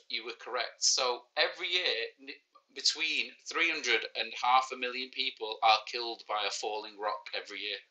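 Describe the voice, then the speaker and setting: A man in his forties with a clear Manchester accent hosts a live maths quiz for children. His voice is bright and forceful, and he talks in easy, joking banter, often teasing himself. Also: mid-range voice, poor audio. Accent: Mancunian. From Manchester, England. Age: 40s. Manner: joking, self-mocking banter. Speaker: teacher hosting a live quiz. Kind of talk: casual livestreamed lesson.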